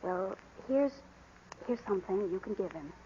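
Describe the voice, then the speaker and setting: A woman's voice: medium pitch, expressive, normal speed, mellow, a little hiss or hum, close to an everyday voice. An actress playing a plucky, tender young working woman and mother in 1940s radio drama style. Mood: tender, wistful. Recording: muffled vintage radio recording, faint music.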